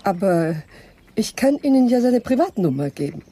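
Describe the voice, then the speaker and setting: An actress playing a genteel sanatorium owner in a German radio crime drama, polite, hesitant and evasive. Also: female voice, mid-range voice, big pitch swings, moderate pace, mellow, breathy edge. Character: genteel, guarded lady owner of a private sanatorium.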